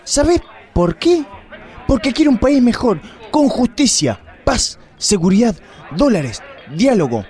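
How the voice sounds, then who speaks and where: A man's voice: very high-pitched, sing-song, a little slow, darkish tone, a faint crowd, exaggerated voice. A man playing a crude, boastful, corrupt police inspector in a radio drama, with a Rioplatense accent. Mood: ranting. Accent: Rioplatense Spanish. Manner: blustering, melodramatic, vulgar outbursts. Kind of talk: amateur radio drama acting.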